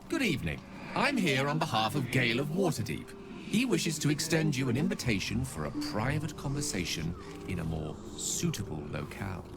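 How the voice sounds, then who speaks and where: A man's voice: mid-range voice, wildly swooping pitch, normal speed, gravelly. An actor playing a courteous male messenger in a video game, speaking in formal, old-fashioned phrasing over music. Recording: game audio with music behind.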